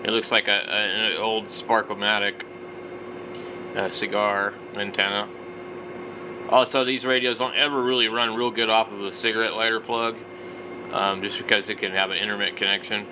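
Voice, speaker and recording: Man, forties, fairly low pitch, rambling casual hobbyist commentary, noticeable background noise. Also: level tone, moderate pace. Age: 40s. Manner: rambling, thinking aloud. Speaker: vlogger, radio hobbyist. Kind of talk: casual off-the-cuff product commentary.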